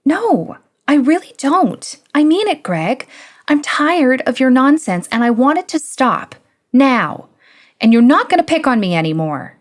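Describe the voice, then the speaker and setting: A woman's voice: high voice, sing-song, a little slow, few pauses, pitched above the performer's own voice. A woman doing a fed-up, tearful young woman.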